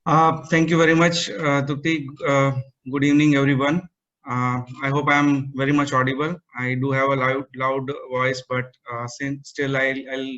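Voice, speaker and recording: A man's voice: medium pitch, fairly bright tone. Hesitant man presenting on a call. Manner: hesitant, many fillers and restarts. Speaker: guest speaker. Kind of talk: online meetup presentation. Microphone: video call. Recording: muffled call audio.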